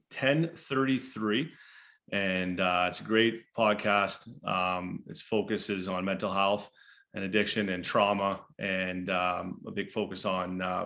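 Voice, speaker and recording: A man co-hosting a recovery podcast, talking casually with a halting, hesitant flow. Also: low-pitched, a bit bright. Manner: hesitant, lots of uh and restarts. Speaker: podcast co-host.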